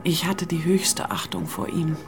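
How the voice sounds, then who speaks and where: A woman's voice: lowish voice, level tone, a little slow, gravelly, music in the background. An actress playing a proud, resolute and scornful woman of politics in a radio play, speaking intensely. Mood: urgent.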